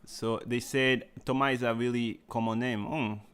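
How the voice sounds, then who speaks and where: A man from Brazil with a Brazilian Portuguese accent, whose first language is Portuguese, hosting a livestream interview over a video call in a relaxed way, asking questions and speaking to viewers. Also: mid-range voice, moderate pace. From Salvador, Bahia, Brazil. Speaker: host.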